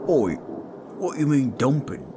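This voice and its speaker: A man's voice: medium pitch, sing-song, a little slow, background noise, pitched above the performer's own voice. A man voicing a blunt, touchy little goblin adventurer in a roleplay audio drama, brash and informal. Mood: brash, supportive.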